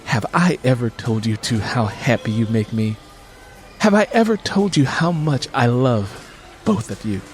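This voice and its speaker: A man's voice: medium pitch, expressive, a little slow, soft background music, natural voice. Man voicing an outspoken, loving wife. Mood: warm, affectionate. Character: affectionate, outspoken wife and mother.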